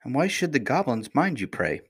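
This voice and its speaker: A man's voice: mid-range voice, very animated, fairly quick, a bit bright, husky, dry recording, studio quality, pitched above the performer's own voice. Man voicing a fretful, scolding nursemaid. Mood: offended. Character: anxious, easily offended woman, a royal nursemaid.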